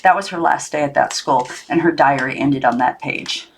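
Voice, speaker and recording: Woman, slightly low pitch, expressive storybook narration, audiobook reader. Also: normal speed, slight background noise.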